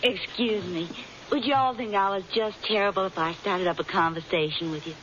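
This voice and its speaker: A woman's voice: medium pitch, a little fast, crowd noise, natural voice. Woman acting a coy Kentucky Southern belle. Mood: coy flirting. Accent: Kentucky Southern American. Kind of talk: old-time radio drama acting. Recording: dull, hissy old radio recording.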